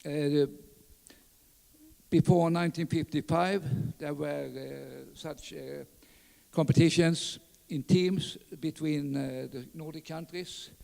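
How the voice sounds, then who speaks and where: An older man from southern Sweden with a Swedish accent, speaking English as a fourth language, giving a halting talk at a lectern full of fillers and restarts. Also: on the high side, steady tone, creaky, a little mellow. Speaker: conference speaker. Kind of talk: reminiscing conference talk. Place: large conference hall. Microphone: lectern mic. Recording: reverberant hall.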